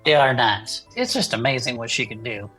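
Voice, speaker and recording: A woman playing a folksy, sentimental gnome who hosts a comedic podcast about cryptids, talking in an effusive, drawn-out way. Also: a bass voice, big pitch swings, fairly bright tone, faint music behind, heavily acted, poor audio. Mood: folksy, excited. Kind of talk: improvised comedic fiction podcast.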